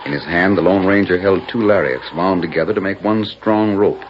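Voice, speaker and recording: A man narrating a 1940s radio western with dramatic, measured storytelling. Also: low-pitched, background noise, close to an everyday voice. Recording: muffled 1940s radio recording with loud music.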